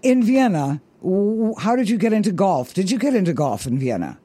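A man hosting a radio talk show, bubbly and quick to laugh, stretching words for emphasis. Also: high-pitched, very animated, dark tone, slightly rough, dry recording. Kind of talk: upbeat radio interview.

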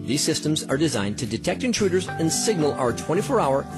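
A man reading a scripted radio advertisement in a brisk, polished way. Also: a little high, sing-song. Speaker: ad announcer. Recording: ad over loud music bed.

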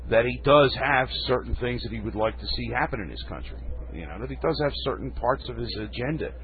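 Hoarse folksy radio host, tinny sound. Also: male voice, medium pitch. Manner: folksy, rambling asides. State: losing his voice. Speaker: radio talk show host. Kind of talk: reading news and commenting. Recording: phone-like lo-fi radio.

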